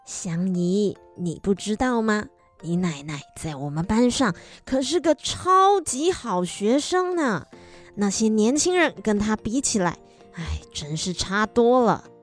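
A woman's voice: medium pitch, expressive, unhurried, faint music behind, close to an everyday voice. A woman acting a caring, admiring female character in a children's radio drama. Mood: gushing, friendly. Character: caring mother and gushing elderly woman friend.

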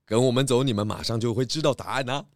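A man's voice: mid-range voice, fast, dry recording, close to an everyday voice. A man voicing a confident, clever pig detective with a jovial, explanatory manner in a children's audio drama. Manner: self-assured, jovial, explanatory.

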